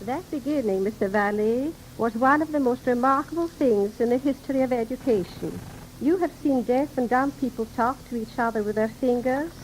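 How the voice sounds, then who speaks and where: A woman's voice: fairly high pitch, normal speed. A woman explaining things formally and precisely as a guest in a 1930s radio interview. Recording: noisy 1930s radio broadcast recording.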